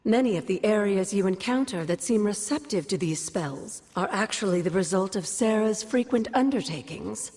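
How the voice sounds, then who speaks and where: An actress playing a wry older sorceress mentor in a game cutscene, measured and knowing, with fond teasing. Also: female voice, mid-range voice, lively intonation, a little mellow, big-room sound, close to an everyday voice. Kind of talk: game cutscene dialogue, expository storytelling.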